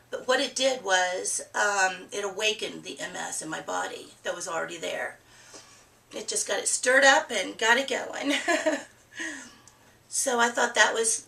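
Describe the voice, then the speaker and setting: A middle-aged woman chatting candidly to her webcam in a casual personal vlog, hoarse from a cold and laughing easily. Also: medium pitch, pushed and bright, reverberant, distant mic. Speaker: vlogger. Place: small room with a plain green wall.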